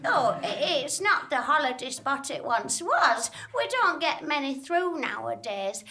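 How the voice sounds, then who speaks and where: Woman as glum, high-voiced saloon computer. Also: sing-song, a little slow, sharp tone, heavily acted. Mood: glum, hesitant. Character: glum, barely functioning saloon computer, dryly resigned. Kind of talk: comic stage radio play. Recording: roomy live theatre sound.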